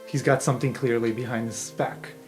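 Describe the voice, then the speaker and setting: A man's voice: medium pitch, fairly even intonation, a little fast, a little mellow. A man working as game master, narrating an improvised tabletop game in a conversational way. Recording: music under the voice.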